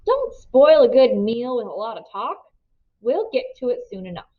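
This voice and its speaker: A woman's voice: on the high side, sing-song, moderate pace. Woman reading as a folksy rural mother, muffled recording. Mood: firm. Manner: folksy rustic speech with dropped g's. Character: fond, firm rural mother presiding over breakfast.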